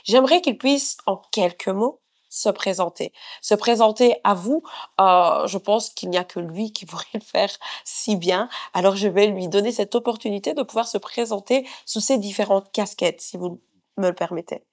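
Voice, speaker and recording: A woman presents a faith-based talk show in a medium-pitched voice. Her delivery is formal and ceremonious. She phrases things earnestly and with emphasis, as a host addressing guest and viewers. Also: moderate pace.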